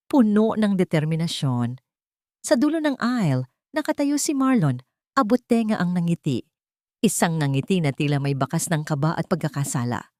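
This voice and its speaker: A woman's voice: lowish voice, darkish tone, no echo, clean studio sound. A woman with a Filipino accent narrating a scripted romantic drama story.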